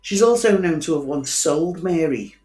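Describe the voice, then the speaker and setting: A woman with a Scouse accent and a low-set voice tells true-crime stories as host. She is chatty and opinionated, dropping in dry asides as she goes. The sound is roomy, with noticeable echo. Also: expressive, moderate pace, darkish tone. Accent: Liverpool Scouse. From Liverpool, England.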